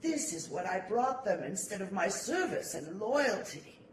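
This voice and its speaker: A man's voice: high voice, sing-song, a little slow. A man playing a remorseful, centuries-old servant in a gothic audio drama, speaking in a brooding, formal, theatrical way. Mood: bitter remorse. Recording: roomy, distant, noisy amateur recording.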